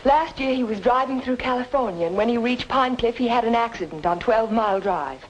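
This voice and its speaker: Noir radio ally: woman playing determined female, formal and deliberate, slightly high voice, light background noise. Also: lightly acted. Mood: firm, formal. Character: brisk, determined woman presenting a surprise witness. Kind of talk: old-time radio noir drama acting. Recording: faintly noisy old radio recording.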